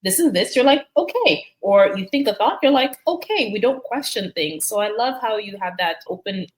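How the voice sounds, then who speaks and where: A woman's voice: mid-range voice, animated, normal speed, rough recording. A woman from Canada hosting a casual conversational interview, chatty and affirming, rambling a little from thought to thought. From raised in Canada from age six, African family. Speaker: podcast host, emergency doctor.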